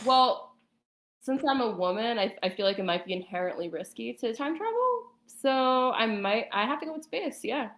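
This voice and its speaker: A woman's voice: on the high side, lively intonation, normal speed. A woman guest chatting casually in an interview, thinking aloud a little hesitantly, with plenty of "like" along the way. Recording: echoey, roomy sound.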